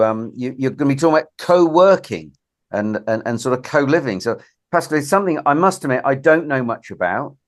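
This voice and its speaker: Jovial British man, lowish voice. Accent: British English. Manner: jovial, chatty with fillers. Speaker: guest, blockchain consultant. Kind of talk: podcast interview.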